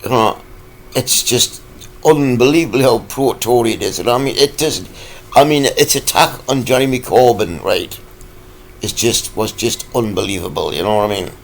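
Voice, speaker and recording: A man, croaky from a cold, ranting in a rambling, halting vlog full of fillers. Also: mid-range voice, a little hiss or hum. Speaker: vlogger.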